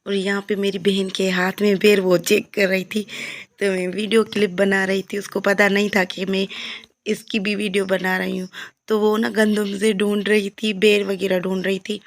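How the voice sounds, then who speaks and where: A woman with a Pakistani accent and a hoarse, heavy voice, narrating her village vlog in a chatty, repetitive way. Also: mid-range voice, level tone, moderate pace. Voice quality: heavy, croaky voice. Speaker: family vlogger. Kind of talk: casual vlog voice-over.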